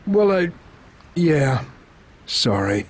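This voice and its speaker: A man's voice: a little high, sing-song, very slow, exaggerated voice. An actor playing an earnest, hesitant middle-aged town doctor and worried father in a video game cutscene. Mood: sheepish.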